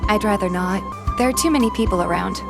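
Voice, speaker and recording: A woman playing a soft, earnest young heroine. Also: mid-range voice, lively intonation, fast, creaky, over music. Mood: gentle. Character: soft-hearted young woman, shy heroine narrating her feelings. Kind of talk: first-person narration and dialogue in a game fan dub.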